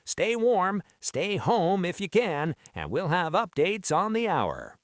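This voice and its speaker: Man as lively high-voiced 1970s radio newsman. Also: slow, dry recording, studio quality, full character voice. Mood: businesslike. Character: 1970s local radio newsman, businesslike and friendly. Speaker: news anchor. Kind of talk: radio news and weather reading.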